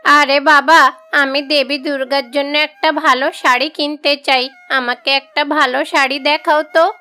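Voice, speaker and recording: Woman playing a humble, high-voiced village aunt. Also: fairly even intonation, unhurried, bright, forceful tone, soft background music. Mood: earnest. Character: humble, devout old bangle-selling village aunt. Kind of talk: Bengali fairy-tale cartoon dubbing.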